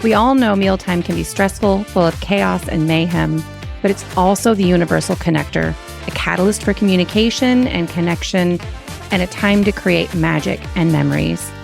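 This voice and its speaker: A woman's voice: fairly low pitch, normal speed, creaky, soft background music. A woman hosting a podcast, introducing and chatting in an effusive, encouraging way. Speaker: podcast host. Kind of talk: podcast introduction and conversational interview.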